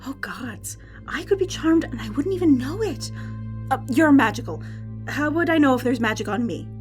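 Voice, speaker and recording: A woman playing a chatty, excitable and bloodthirsty fantasy arena announcer in an audio drama. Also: high voice, normal speed. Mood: anxious. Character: gleeful, bloodthirsty female fantasy arena announcer, easily worried. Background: music.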